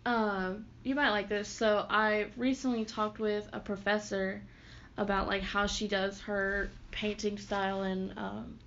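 A woman's voice: medium pitch, little pitch movement, a little slow, vocal fry, fairly bright tone. A young woman interviewing a singer, asking casual questions with hesitant fillers. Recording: dull lo-fi audio with faint noise.

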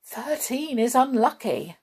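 A woman's voice: high-pitched, animated, slow, a little mellow, husky, voice pushed up, full character voice. A woman voicing a timid, cautious male mouse in a children's storybook, hesitant and stammering. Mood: anxious. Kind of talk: children's storybook read aloud.

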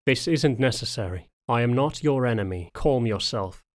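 A man's voice: mid-range voice, moderate pace, natural voice. A man voicing a big, lean, older wolfhound knight in an audiobook, formal and restrained, with strained emotion underneath. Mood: even, guilty.

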